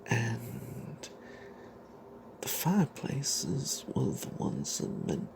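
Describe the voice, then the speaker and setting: A man telling an off-the-cuff fantasy game story in ASMR style, breathy and half-whispered, halting, with playful old-fashioned flourishes. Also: mid-range voice, huge pitch swings, noisy background. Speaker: storyteller narrating a tabletop game tale.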